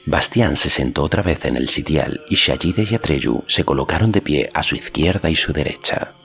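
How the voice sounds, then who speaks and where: A man's voice: very deep voice, lively intonation, normal speed, vocal fry, a bit bright. A man reading a fantasy story as an audiobook narrator, in a scripted narration style. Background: faint music.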